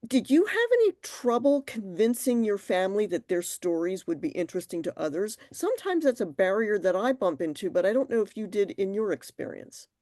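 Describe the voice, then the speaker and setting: A woman's voice: medium pitch, sing-song, a little fast, hardly any pauses, mellow, no echo, studio quality. A woman interviewer asking thoughtful questions in a conversational way, sometimes restarting her phrases.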